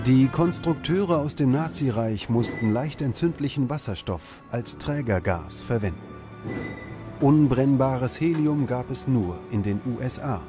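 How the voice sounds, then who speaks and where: A man's voice: mid-range voice, expressive, measured pace. Male documentary narrator over prominent music. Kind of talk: scripted narration. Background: music bed.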